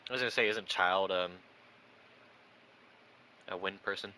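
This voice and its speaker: A man's voice: sits low, a little slow. A young man giving casual gameplay commentary, rambling and thinking aloud. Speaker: gamer. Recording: noisy home recording.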